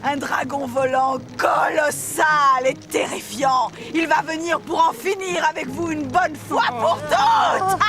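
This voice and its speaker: A woman's voice: high-pitched, big pitch swings, slow pace, hardly any pauses, exaggerated voice. A woman voicing a menacing, mocking wicked witch in a dubbed children's cartoon, with a sneering, grandiose delivery. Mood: gloating fury. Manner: sneering, grandiose, often shouting. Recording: loud music behind the voice.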